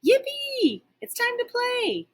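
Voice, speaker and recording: A woman reading a children's storybook, voicing an excitable, boastful and playful pet dog with exclamatory, dramatic delivery. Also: very high-pitched, slow, voice pushed up, full character voice. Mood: excited, playful. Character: excitable, cocky, playful pet dog narrating his adventures. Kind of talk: children's storybook read-aloud.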